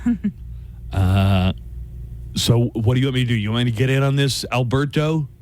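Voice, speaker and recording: A man's voice: mid-range voice, normal speed, a little mellow, slight background noise. A middle-aged man hosting a morning radio show, chatting casually with wry, dry jokes. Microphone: studio microphone.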